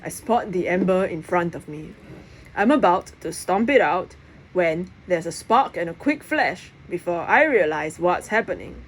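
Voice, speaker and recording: A woman reading a children's novel aloud in expressive narration. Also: fairly low pitch. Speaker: audiobook reader. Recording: clear background noise.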